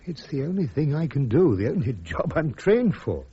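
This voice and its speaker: British radio drama: earnest accused officer and wounded husband, clipped delivery, expressive pitch, lo-fi sound. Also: male voice, moderate pace, husky, exaggerated voice. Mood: pleading, hurt. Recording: dull, lo-fi radio drama recording.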